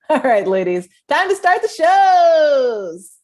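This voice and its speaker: A woman acting as a flippant, self-serving young woman in a comedy table read, with breezy deadpan asides. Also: high voice, slow pace, heavily acted.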